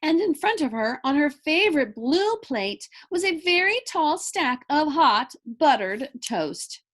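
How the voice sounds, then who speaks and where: A woman reading a children's book aloud in an animated, deliberate storybook delivery. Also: high voice.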